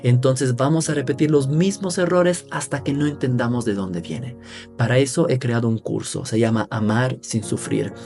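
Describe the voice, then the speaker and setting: A man with a Mexican accent hosting a podcast interview, speaking in a soft, reflective way. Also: medium pitch, normal speed, soft background music. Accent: Mexican Spanish. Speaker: podcast host.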